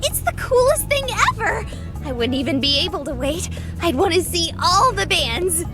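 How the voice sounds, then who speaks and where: High-pitched, bubbly young woman. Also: sing-song, moderate pace, music in the background. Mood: excited. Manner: bubbly, eager, earnest. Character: cheerful, naive, excitable young woman on road trip. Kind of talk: visual novel game voice acting.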